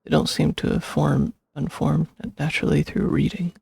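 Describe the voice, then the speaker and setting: A man streaming a video game, casually commenting and reading text aloud in an offhand, gravelly, husky voice. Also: fairly high pitch, flat delivery, moderate pace, darkish tone, no room sound. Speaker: streamer playing and reacting. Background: faint game music.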